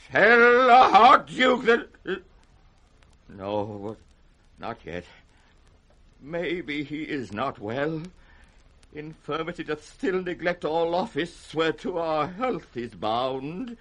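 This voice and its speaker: An old king in 1960s radio Shakespeare, slow and piercingly sharp. He is proud and volatile, a wounded ruler unravelling in grand, wrathful speech. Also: a little high, heavily acted. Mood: wounded restraint. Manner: grand, volatile, swinging from thunder to broken murmurs.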